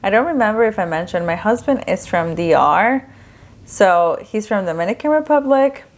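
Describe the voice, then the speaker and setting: A woman's voice: medium pitch, animated, normal speed. A young woman from Ecuador hosting a podcast, talking in a candid, casual way. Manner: chatty, candid, with frequent fillers. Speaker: podcast host. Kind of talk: casual solo podcast talk.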